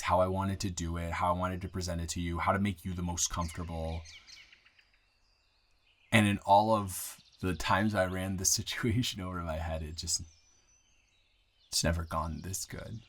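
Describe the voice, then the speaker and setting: A man acting a deep-voiced, bashful smitten boyfriend. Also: close to an everyday voice. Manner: halting, flustered, playful teasing with chuckles. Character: nervous, smitten new guy in town confessing love. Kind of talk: improvised romantic audio roleplay.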